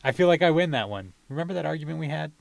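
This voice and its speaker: A man's voice: on the high side, expressive, racing, vocal fry, no echo. Casual male podcast host, dry close sound. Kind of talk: casual interview banter.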